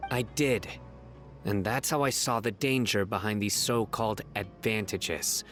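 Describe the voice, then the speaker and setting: A stern, principled male law enforcer with a lowish voice. He speaks gravely and formally, never bending, in anime game style over background music. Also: measured pace, fairly bright tone. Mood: grim.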